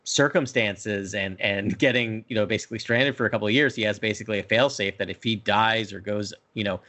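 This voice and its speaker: A man's voice: on the low side, brisk, pushed and bright. A man co-hosting a casual podcast review, eager and often checking for agreement, on slightly muffled audio. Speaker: podcast co-host. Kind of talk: casual book review discussion. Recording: dull lo-fi audio.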